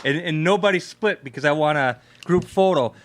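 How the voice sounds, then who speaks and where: An American man hosting a live radio event, chatting off the cuff in a halting, repetitive, effusive way. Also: a little high, fairly bright tone. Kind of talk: casual, unscripted thanks and chat.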